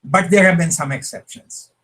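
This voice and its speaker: A man with an Indian English accent, a Punjabi speaker and translator, giving a measured, humble talk with frequent restarts over a video call. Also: a little high, a bit bright, rough recording. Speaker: translator speaking at a web conference. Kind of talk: reflective conference talk.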